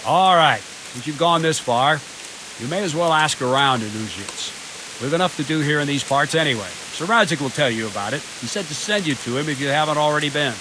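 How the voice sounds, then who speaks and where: An actor playing a gruff, bullying veteran captain in a video game, scolding with sarcasm and authority. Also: male voice, on the high side, animated, brisk, background noise, natural voice. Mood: stern, businesslike. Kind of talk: video game cutscene acting.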